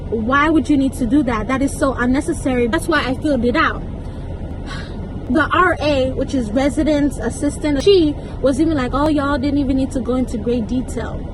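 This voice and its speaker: A young woman telling a story casually, acting out conversations in an animated, indignant, emphatic way with slangy asides. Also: high-pitched, brisk, background noise. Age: young adult. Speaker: vlogger.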